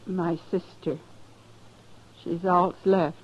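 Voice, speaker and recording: A woman's voice: lowish voice, level tone, takes it slowly. An actress playing a battered, plainspoken frontier woman with an American accent and simple country speech, in a muffled 1950s radio western. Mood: subdued, grateful. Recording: muffled, noisy old 1950s radio recording.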